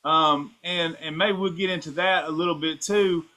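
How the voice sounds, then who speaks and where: A man's voice: fairly high pitch, steady tone, moderate pace, bright, forceful tone. A man hosting an interview, asking friendly, hesitant questions with restarts. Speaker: podcast host. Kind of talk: casual interview.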